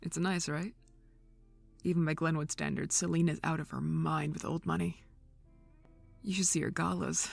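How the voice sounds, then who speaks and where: A sarcastic, easygoing young organizer with a dry wit, as a woman voices her. Her voice is low and gravelly with fry, over faint music. It is a fan audio drama. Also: flat delivery, normal speed, pauses often, no room sound, exaggerated voice. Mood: wry. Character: young adult woman, wry social event organizer.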